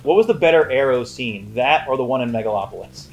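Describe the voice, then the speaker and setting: A man's voice: medium pitch, animated, quick pace, soft background music. A man co-hosting a casual movie review, emphatic and opinionated as he banters. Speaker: movie review show co-host.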